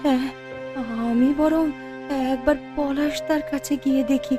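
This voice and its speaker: A woman's voice: sits high, fairly even intonation, measured pace, over music. Woman acting a plaintive, desperate poor mother. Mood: pleading. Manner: plaintive, imploring. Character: desperate poor mother pleading for her sick child. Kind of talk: Bengali cartoon voice acting.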